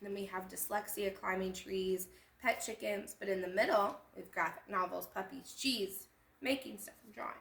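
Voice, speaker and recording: A woman's voice: mid-range voice, moderate pace, vocal fry. A woman hosting a children's storytime, reading a picture book aloud expressively and adding little explanatory asides. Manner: animated, acting out lines and pointing out details. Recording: echoey room sound.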